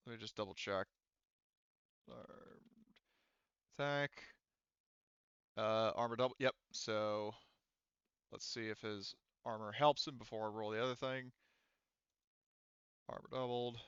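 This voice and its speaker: A man runs a tabletop roleplaying game with a forceful, clear voice at a middling pitch. His narration is casual and informal, and the close, dry sound is slightly dull and lo-fi. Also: steady tone, takes it slowly, pauses often, dry recording. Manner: informal, occasional swearing. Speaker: game master.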